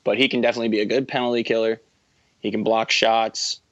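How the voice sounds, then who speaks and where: A man's voice: lowish voice, little pitch movement, fast talker, fairly bright tone, no echo. A man in his early twenties co-hosting a casual sports podcast, talking in a rambling, self-correcting, enthusiastic way. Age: early 20s. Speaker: podcast co-host.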